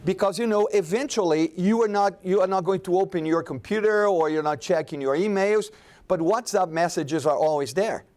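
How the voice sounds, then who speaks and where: A man's voice: high voice, moderate pace, a little mellow. Man presenting at a conference. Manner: conversational, many restarts and fillers. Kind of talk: conference panel talk.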